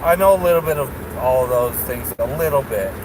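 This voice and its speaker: A man's voice: mid-range voice, brisk. A man in his late thirties rambling in a casual vlog from a parked car at night, full of fillers and restarts, chuckling now and then. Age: 30s. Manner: meandering, many fillers and restarts, chuckles often. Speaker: vlogger. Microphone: phone camera on dashboard. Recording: noisy car interior.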